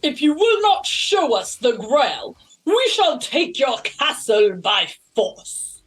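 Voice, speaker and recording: Woman plays a solemn questing king, unhurried, formal declarations. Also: sits high, sing-song, heavily acted. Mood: commanding. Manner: formal, measured proclamations. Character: stiff, dignified legendary king on a quest. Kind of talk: amateur comedy script read-through.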